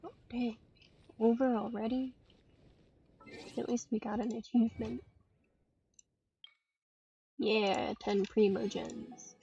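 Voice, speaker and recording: A woman game streamer giving casual, halting live commentary in muttered asides. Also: on the high side, fairly even intonation, gravelly, dark tone. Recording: dull lo-fi mic, faint noise, clicks.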